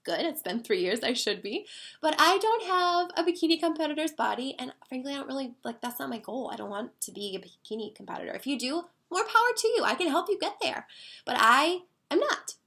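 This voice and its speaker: A woman's voice: high voice, sing-song, brisk, fairly bright tone. A woman in her thirties, a wellness coach talking casually and earnestly, a little stuffy from a cold. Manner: earnest, conversational, with fillers. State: slightly stuffy nose from a cold. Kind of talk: casual monologue.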